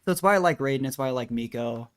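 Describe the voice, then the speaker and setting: Male game streamer, medium pitch, casual chatty live commentary with a rambling, self-deprecating manner. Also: rapid-fire, fairly bright tone, clean tone.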